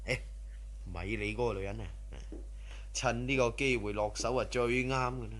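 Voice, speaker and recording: An actor playing a greedy, lecherous burglar muttering to himself in a radio drama, with drawn-out interjections. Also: male voice, mid-range voice, fairly even intonation, fairly bright tone, natural voice. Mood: greedy, furtive.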